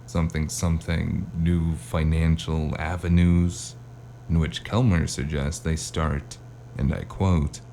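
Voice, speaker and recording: A man plays a hard-boiled noir detective with an extremely deep, gravel-edged voice and a dark tone. He tells his story with wry weariness, as in an audio drama, over a faint hum. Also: flat delivery, slow, heavily acted. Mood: musing, wry. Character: hard-boiled male cyberpunk noir investigator with magic, wry and weary. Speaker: narrator and lead character.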